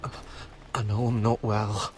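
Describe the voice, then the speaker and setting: A man's voice: lowish voice, flat delivery, unhurried, noisy background, close to an everyday voice. An actor playing a wounded, feverish young man. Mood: pleading. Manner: weak, earnest, pleading. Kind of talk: video game cutscene acting. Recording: game score playing behind.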